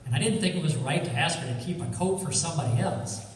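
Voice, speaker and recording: A man playing a cocky, wisecracking amateur sleuth, breezy even when apologizing, in an old-time radio detective drama. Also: a little high, quick pace, close to an everyday voice. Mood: earnest explaining. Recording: echoey hall, distant, faint noise.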